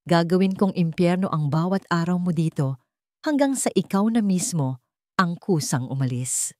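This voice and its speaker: A woman's voice: lowish voice, lively intonation, moderate pace, a little mellow, no echo, clean studio sound, natural voice. A woman voicing a cold, rich young male boss in a radio-style story, mocking and threatening. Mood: menacing.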